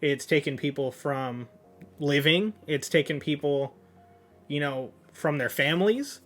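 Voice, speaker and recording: Slow rambling young man. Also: fairly high pitch, fairly bright tone, soft background music. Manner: rambling, filler-heavy, restarts. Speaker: streamer reacting to manga. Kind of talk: casual reaction chat.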